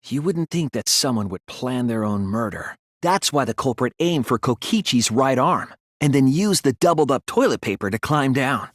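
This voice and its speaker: A man plays a young male detective in a dramatic English game dub. His medium-pitched voice moves expressively as he reasons with earnest, quiet resolve. The delivery is articulate and deliberate, recorded completely dry. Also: expressive, no room sound, close to an everyday voice. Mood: earnest, analytical. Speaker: video game voice actor.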